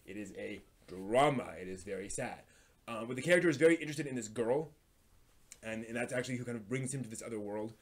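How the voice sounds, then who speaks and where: A man hosting a live stream, chatting casually and giving recommendations in a halting way, often thinking aloud. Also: mid-range voice. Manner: halting, thinks aloud, hums filler syllables while searching.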